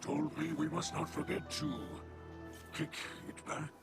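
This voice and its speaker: An honourable alien warrior for a video game, gentle and earnest. The voice is a gravelly, breathy growl, drawn out slowly in formal, halting phrases. Heavy music swells behind it. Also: male voice, a little high, level tone. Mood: earnest, warm. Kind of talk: game voice acting. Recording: loud music bed behind the voice.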